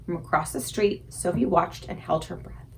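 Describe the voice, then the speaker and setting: A woman reading a children's story aloud expressively, with dramatic, suspenseful emphasis and deliberate pauses. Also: on the low side, gravelly, slight background noise, rough recording. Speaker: librarian reading aloud for a book club.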